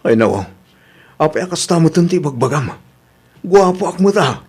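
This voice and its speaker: A man's voice: fairly high pitch, sing-song, moderate pace, a little mellow, husky, exaggerated voice. A man acting a vain, easily wounded young suitor in a radio drama. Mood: sulky, offended.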